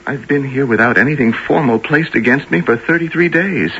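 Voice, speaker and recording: A man acting an earnest, defiant young army lieutenant in a period radio drama, halting and then declamatory. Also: mid-range voice, fairly bright tone, breathy edge. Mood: bewildered. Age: young adult. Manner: halting when pressed, impassioned and declamatory. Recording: dull lo-fi old radio sound.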